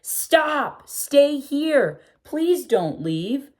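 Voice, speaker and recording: A woman's voice: sits high, sing-song, extremely slow, a little mellow, voice pushed up, full character voice. A woman voicing an eager, determined young girl in a read-aloud picture book, her lines pleading and lifted.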